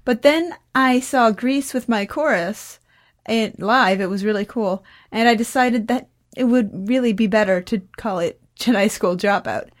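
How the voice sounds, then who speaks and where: High-voiced teenage girl, rambling. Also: a little slow. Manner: rambling, self-interrupting, dryly joking. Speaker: young podcast creator and guest. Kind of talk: casual chat.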